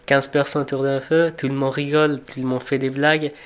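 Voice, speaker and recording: A man in his twenties from Afghanistan, a cook, telling his life story in a reflective, unhurried way, pausing and restarting as he searches for words. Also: mid-range voice, flat delivery, clear, resonant tone. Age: 20s. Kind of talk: personal storytelling for a documentary portrait.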